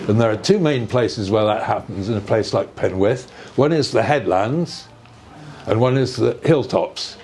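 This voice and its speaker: An older man giving an informal, measured explanation in conversation, often checking with rhetorical questions. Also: fairly low pitch, expressive, darkish tone. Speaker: speaker on earth energies and ancient sites. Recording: noticeable background noise.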